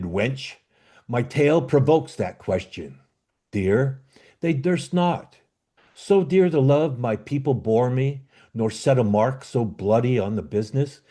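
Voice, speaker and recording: A man playing a bitter, commanding exiled duke and father in an amateur Shakespeare reading, with a declamatory, halting delivery. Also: mid-range voice, warm tone. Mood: earnest, tender. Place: online video call.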